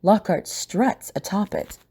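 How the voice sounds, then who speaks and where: A woman's voice: mid-range voice, sing-song, slow pace, vocal fry, warm tone, slightly rough. A woman reading scripted, dramatic story narration for an audio drama.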